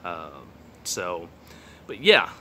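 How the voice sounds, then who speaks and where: Texan man, late thirties, low-set voice; loose, filler-heavy personal testimony told outdoors. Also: very animated, extremely slow, background noise. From Texas. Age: 30s. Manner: rambling, plainspoken, many fillers and trailing asides. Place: outdoors on a sunny day.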